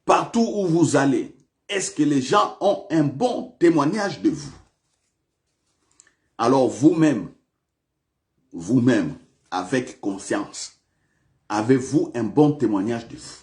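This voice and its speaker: A man's voice: medium pitch, lively intonation, slow, long pauses, darkish tone. A male pastor preaching a sermon in a church, repeating questions to the congregation with big swings of emphasis.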